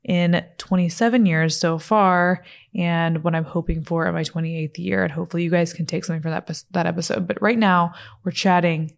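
A woman's voice: on the low side, fairly even intonation, normal speed, gravelly, few pauses. A woman in her late twenties hosting her own podcast, chatting candidly and casually with lots of fillers and the odd swear word. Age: 20s. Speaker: podcast host. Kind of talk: casual solo podcast chat. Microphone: studio mic, seated.

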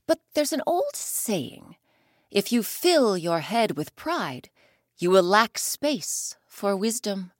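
A woman doing a clever, wise village woman from a folk tale. She speaks calmly and quietly, unhurried, with a melodic lift and fall. The sound is dry and close. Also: mid-range voice, dry recording.

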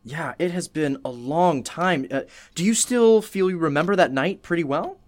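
Man acting, radio play host, high lively voice, chatty, effusive, polite interviewer. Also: moderate pace, close to an everyday voice. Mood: curious, courteous. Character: chatty, courteous male radio show host interviewing a witness.

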